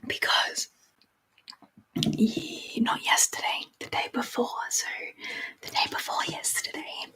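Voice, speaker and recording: An Australian woman whispering a casual, rambling ASMR livestream, softly repeating words as she chats. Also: slow, low-quality recording. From Canberra, Australia.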